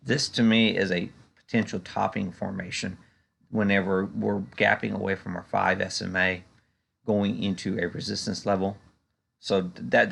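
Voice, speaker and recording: A man giving unscripted stock market commentary in a conversational, halting way, often padding with "you know". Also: sits low, gravelly, a bit bright, husky. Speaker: stock market analyst and video host.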